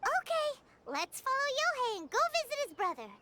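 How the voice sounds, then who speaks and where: A woman voicing a tiny, excitable childlike fairy companion in an animated game, squeaky, blunt and cute, with music behind her. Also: brisk, very noisy. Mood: doubtful.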